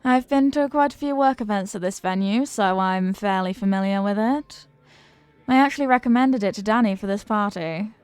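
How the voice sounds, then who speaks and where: A woman's voice: medium pitch, normal speed, darkish tone, clean tone, no echo, close to an everyday voice. A woman playing a flirty, confident, playful stranger in an intimate roleplay, with a teasing, coy, sweet-talking manner. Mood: chatty, charmed. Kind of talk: intimate roleplay audio acting.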